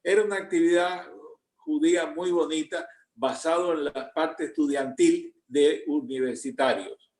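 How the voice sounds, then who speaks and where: Older Cuban grandfather telling stories, slightly high voice, reflective pace. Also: darkish tone, echoey room, low-quality recording. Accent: Cuban Spanish. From Cuba. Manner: slow, reflective storytelling. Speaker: grandparent being interviewed. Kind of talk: recounting memories.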